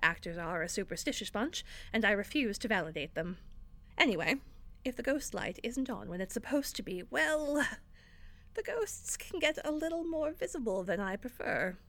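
A woman's voice: medium pitch, very animated, moderate pace, fairly bright tone. A woman playing an exasperated, sardonic theater director in an audio drama, bossy but encouraging. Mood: brisk, annoyed. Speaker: actor in an audio fiction podcast. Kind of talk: acted audio drama dialogue.

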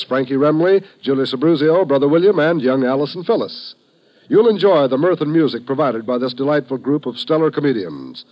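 A man's voice: a little high, darkish tone, rough recording. A man with an American accent reading scripted announcements in a polished, declamatory 1950s radio style.

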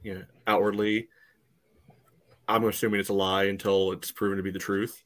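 A man co-hosting a sports podcast, giving casual analysis in halting phrases padded with verbal tics. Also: lowish voice, flat delivery, a bit bright. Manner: hesitant phrasing, peppered with "you know". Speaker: podcast co-host.

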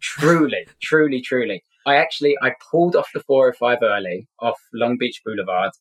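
Man, middle-aged, London accent, medium pitch, earnest, emphatic repetition, conversational storytelling. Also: moderate pace, poor audio. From Scotland, grew up in London. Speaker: podcast guest. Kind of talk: personal storytelling in an interview.

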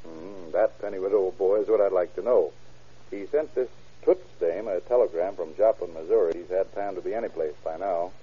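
An actor playing a tough, slangy private investigator who questions in a clipped way, in 1940s radio crime drama style. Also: male voice, sits low, a little fast, husky. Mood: explanatory. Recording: dull old radio drama recording.

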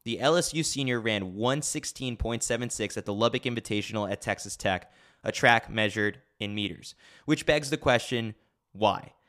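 A man reading a scripted sports newsletter as host, clearly enunciated with a wry delivery. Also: lowish voice, moderate pace, fairly bright tone. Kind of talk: scripted narration.

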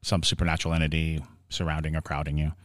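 A man with a deep voice chats as a podcast co-host. His phrasing is measured and reflective as he explains things, recorded dry without echo. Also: monotone, fairly bright tone. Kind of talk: casual chat, explanatory.

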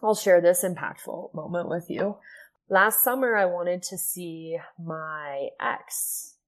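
A woman from Ohio with a low, soft-spoken voice talks as a podcast guest. She tells her story earnestly and reflectively. She often fills her speech with "like" and "you know". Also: takes it slowly. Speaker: podcast guest, yoga and sound healing teacher.

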